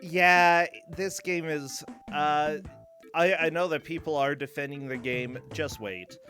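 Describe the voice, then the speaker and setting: A man's voice: fairly high pitch, slow, sharp tone, faint music behind. A man hosting a streamed game show, chatting casually and joking playfully. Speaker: host.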